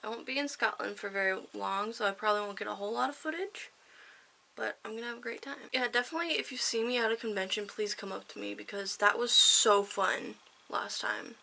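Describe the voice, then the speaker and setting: A woman vlogger talking casually to her viewers, her voice hoarse and a little worn, rambling with fillers and laughs. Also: mid-range voice, steady tone, brisk, pushed and bright. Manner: rambling, chatty, laughs often. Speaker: vlogger and interviewer. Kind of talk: casual vlog chat.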